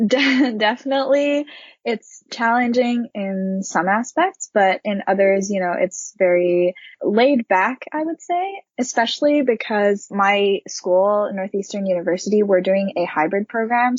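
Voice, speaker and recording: A woman's voice: mid-range voice, a little slow, no room sound, highs cut off. A young woman from Japan, a university student and intern, speaking earnestly and thoughtfully as an interview guest. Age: young adult. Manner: earnest, thoughtful, light fillers. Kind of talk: casual interview chat.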